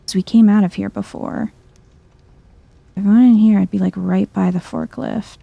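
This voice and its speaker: A soft-spoken woman giving hushed, chill ASMR-style video game commentary, thinking aloud to herself. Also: medium pitch, fairly even intonation, normal speed, gravelly, no room sound, muffled recording.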